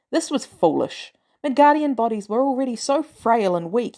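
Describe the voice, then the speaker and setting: Female narrator for audio fan fiction, mid-pitched with very lively intonation and dramatic emphasis. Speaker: podfic narrator. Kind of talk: scripted story narration.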